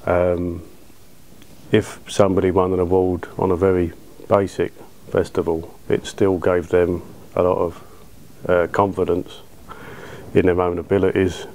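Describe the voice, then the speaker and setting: A British man in his thirties talking informally in an interview, in a low-key, colloquial way. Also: a little mellow, slight background noise. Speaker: cinema owner being interviewed. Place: small dark cinema auditorium, indoors. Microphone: lapel.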